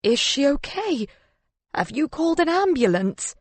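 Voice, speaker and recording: A woman's voice: fairly low pitch, slow pace, slightly rough, no room sound, exaggerated voice. A woman voicing an anguished, angry man, a grief-stricken husband who hisses, moans and cries out his accusations in an audiobook. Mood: shocked, frantic. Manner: hissing, moaning, crying out.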